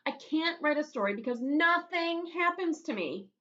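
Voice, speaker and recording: A woman's voice: sits high, animated, moderate pace, a bit bright, muffled recording, voice pushed up. A woman voicing a frustrated, whiny young schoolboy in a storybook read-aloud.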